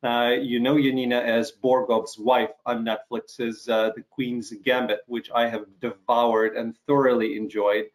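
A middle-aged man from Ukraine hosts a relaxed interview over a video call. He speaks at an ordinary pitch, pausing often with fillers and restarting his sentences. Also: rough recording. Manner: halting, frequent fillers and restarts. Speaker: interview host.

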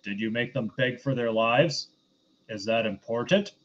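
Dull, lo-fi audio carries a man with an average-pitched voice. He talks as a casual podcast co-host, critical and blunt, as if reviewing among friends. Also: unhurried. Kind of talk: casual film review chat.

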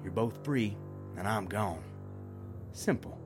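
A man playing a rough, greedy kidnapper who talks in crude rural slang. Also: lowish voice, lively intonation, takes it slowly. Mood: menacing. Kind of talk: amateur audio drama acting. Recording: music under the voice.

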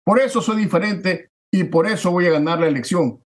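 A man, a political candidate giving forceful, declamatory campaign answers that stack repeated phrases for emphasis. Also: high voice, brisk. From Ecuador.

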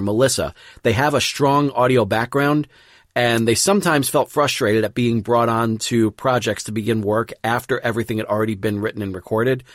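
Guy podcast host, casual intro talk, mid pitch, really dry recording. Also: dry recording.

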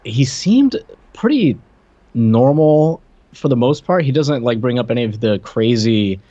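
A slightly muffled, lo-fi recording of a man co-hosting a podcast. His pitch sits in the middle, and he rambles through casual, joking movie talk. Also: lively intonation, a little slow, warm tone. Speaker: podcast co-host. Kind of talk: casual chat about movies. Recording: dull, lo-fi sound.

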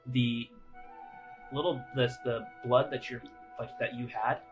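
A man's voice: mid-range voice, little pitch movement. Man narrating a tabletop game over music. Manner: halting, conversational descriptions. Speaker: game master. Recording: music playing under the voice. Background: background music.

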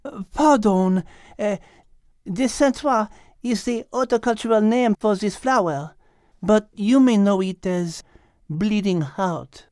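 A man plays a refined French she-cat from an animal audiobook. The voice is high and lilting, with a French accent and precise, learned phrasing. It sounds gentle and clever, recorded close and dry. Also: slow pace, clean tone, no echo. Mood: gentle, saddened. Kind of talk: audiobook character acting.

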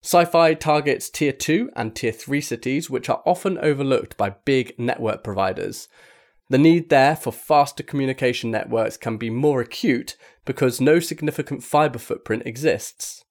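A man's voice: mid-range voice, animated, normal speed. A man reading a magazine article aloud as scripted narration. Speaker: article reader.

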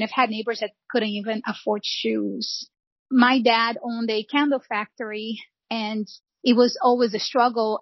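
A middle-aged woman with a voice, speaking in an earnest, reflective way as a podcast guest. Her voice sits a little high for a woman. The recording is dull and lo-fi, with a dry, close sound. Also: dry recording. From Brazil. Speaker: guest, real estate founder. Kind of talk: podcast interview.

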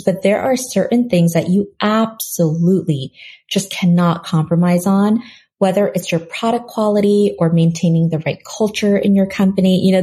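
A woman from South Korea, a skincare company founder, answering interview questions with enthusiastic, animated storytelling. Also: fairly low pitch.

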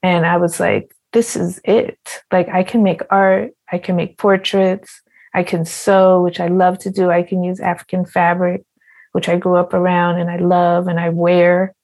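A woman artist telling her story in an interview, slow and reflective, with small fillers and repeats. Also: lowish voice, level tone, darkish tone. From partly Ghanaian heritage. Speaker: quilt artist guest.

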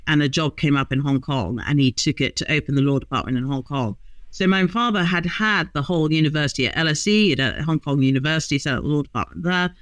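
A woman in her fifties, a guest lawyer telling humorous stories in a relaxed interview. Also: deep voice, dry recording. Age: 50s. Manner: lively, humorous storyteller. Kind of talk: casual interview chat.

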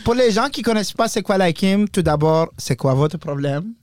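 A man's voice: sits high, lively intonation, normal speed, a little mellow. A young man hosting a casual podcast chat in an enthusiastic, joking manner. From Mauritania. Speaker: podcast host.

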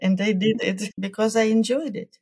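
A woman, a culture coach answering interview questions in a careful, measured way with hesitant fillers. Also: medium pitch, fairly even intonation, mellow, slightly rough. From Ukraine.

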